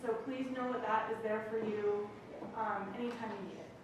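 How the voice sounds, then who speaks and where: A woman from a financial aid office making brisk, friendly announcements and introducing a speaker. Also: mid-range voice, steady tone. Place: campus lecture room. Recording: echoey hall from far off with noise.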